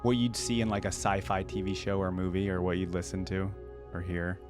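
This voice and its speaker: Male reaction host, lowish register, casual and tentative, thinking out loud over faint music. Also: flat delivery, fairly quick. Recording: music playing behind the voice.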